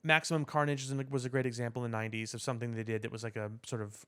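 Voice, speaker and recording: A man's voice: mid-range voice, flat delivery, very fast, a bit bright, clear, resonant tone. A man co-hosting a casual pop culture podcast, explaining his points in long, rambling sentences. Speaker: podcast co-host.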